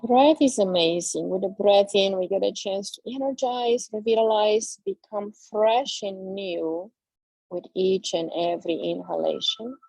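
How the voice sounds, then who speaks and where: A woman guiding a yoga class with slow, soothing, drawn-out cues. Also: mid-range voice, mellow, no room sound, rough recording. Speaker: yoga instructor. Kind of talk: guided yoga class instruction.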